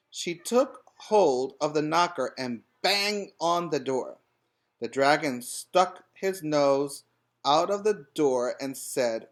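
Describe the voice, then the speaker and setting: A man reading a storybook aloud as a preschool teacher, with simple, instructive asides to the children. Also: fairly high pitch.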